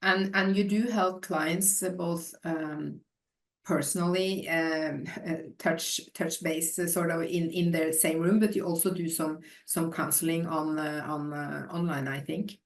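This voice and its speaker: A woman's voice: low voice, steady tone, measured pace. Hesitant woman host, distant from mic. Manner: hesitant, many fillers, soft trailing off. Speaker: podcast host. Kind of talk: interview.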